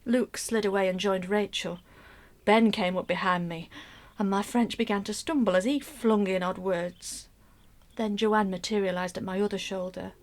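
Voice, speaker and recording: An actress playing an anxious middle-aged mother, telling her story in an expressive, wry first-person radio play monologue. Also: mid-range voice, normal speed, natural voice. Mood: weary, anxious. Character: anxious middle-aged mother recounting a troubled family holiday.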